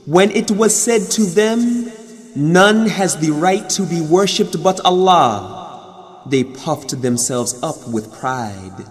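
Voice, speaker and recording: A man reads scripture in a solemn, measured way, very slowly. His voice sits slightly high and is bathed in long reverb. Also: lively intonation. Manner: measured, deliberate phrasing. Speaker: reader of a scripture translation. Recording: long cavernous studio reverb.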